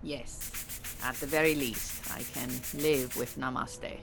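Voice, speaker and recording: An actress playing an exacting Iranian refugee mentor in an audio play, speaking formally and precisely with dry, bossy authority. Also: female voice, a bass voice, steady tone, takes it slowly, gravelly, heavily acted. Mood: exasperated, insistent. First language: Farsi. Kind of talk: audio theatre acting.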